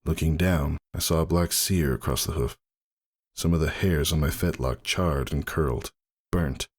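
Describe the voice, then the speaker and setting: A man giving a dramatic first-person story reading as narrator, husky and brooding in manner. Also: rumbling low, normal speed, darkish tone. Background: faint music.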